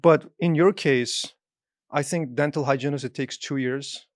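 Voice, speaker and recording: A man's voice: mid-range voice, a little slow, dry recording, clean studio sound. A man hosting a podcast, asking questions in a curious way with non-native grammar. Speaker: podcast host. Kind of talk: casual interview talk.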